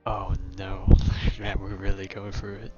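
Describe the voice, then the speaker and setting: A male streamer gives casual live reactions to a game. His voice is low and a little dull in the recording, with faint game music underneath. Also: completely flat delivery, measured pace.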